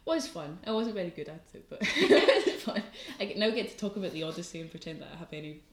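A woman's voice: on the low side, big pitch swings, fast, echoey room. A young woman with a light Scottish accent, chatting as a student guest on a podcast, laughing often and using lots of fillers. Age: young adult. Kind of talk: casual podcast chat.

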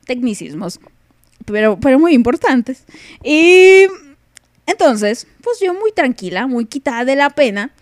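A woman's voice: high-pitched, big pitch swings, measured pace, no room sound, studio quality. A young woman with a Mexican accent hosting a casual live radio podcast, playful and teasing, laughing often. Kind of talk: casual chat on a live stream.

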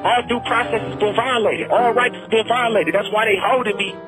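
A man's voice: high voice, steady tone, brisk. An American man making an emphatic, repetitive, impassioned plea over a prison phone line. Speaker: prisoner telling his story. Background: music.

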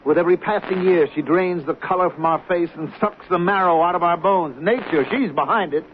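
A man's voice: high-pitched, a little fast, lightly acted. An actor playing a frank, exasperated romantic leading man in an old radio drama, emphatic and pleading. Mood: coaxing. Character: frank, exasperated younger actor, loving the fading star. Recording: dull, hissy old radio recording.

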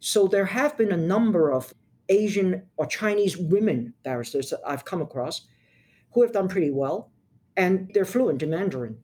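An older woman from Hong Kong speaks as a seasoned lawyer in an interview. She answers at a measured pace, frank and direct, and lands her key points with emphasis. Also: fairly low pitch, animated, a little mellow.

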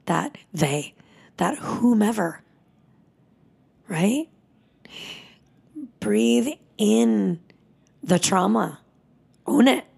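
Slow, gravelly, husky-voiced woman. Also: medium pitch. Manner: emotional, soft, drops into whispers. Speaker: yoga teacher. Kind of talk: reflective spoken teaching.

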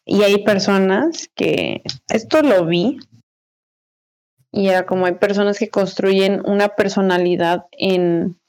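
A woman's voice: medium pitch, slow pace, darkish tone. A young woman in her twenties with a Mexican accent, co-hosting a podcast in a loose, slangy chat, repeating herself and laughing often. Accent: Mexican Spanish. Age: 20s. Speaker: podcast co-host. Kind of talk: casual chat.

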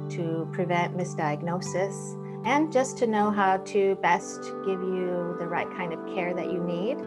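A woman speaking as a podcast host, presenting announcements and introductions. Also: medium pitch. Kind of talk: scripted introduction and live event hosting.

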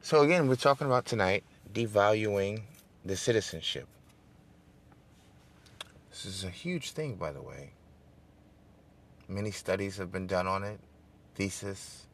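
Smooth-voiced man with gravelly low tone. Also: expressive, a little slow, long pauses, slight background noise. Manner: conversational, rising into emphatic preaching cadence; addresses listeners directly, seeks call-and-response. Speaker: podcast host. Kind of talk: casual political podcast monologue.

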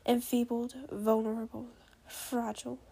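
Storybook male dragon, cruel and contemptuous, low-volume snarl, performed by a woman. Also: steady tone, gravelly, warm tone, breathy edge, full character voice. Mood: scornful, menacing. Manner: snarling, slow scornful listing.

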